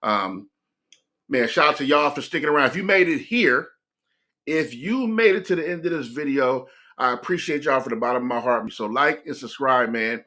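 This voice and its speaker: A man's voice: medium pitch, expressive, normal speed, bright, forceful tone. An American man hosting a reaction video, talking in a reflective, rambling way and addressing his viewers directly. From United States. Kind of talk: casual reaction commentary.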